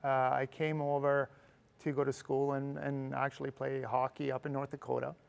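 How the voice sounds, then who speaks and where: A man's voice: medium pitch, level tone, normal speed, gravelly. A middle-aged man from Sweden, a company guest explaining steadily in a casual TV interview.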